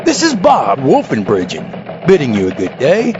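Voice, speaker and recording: Parody newsman male actor: grandiose, pompous, wildly varied intonation, dull sound over clear noise. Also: medium pitch, wildly swooping pitch, normal speed. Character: grandiose TV news reporter signing off. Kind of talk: parody news sign-off. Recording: dull, noisy on-location sound.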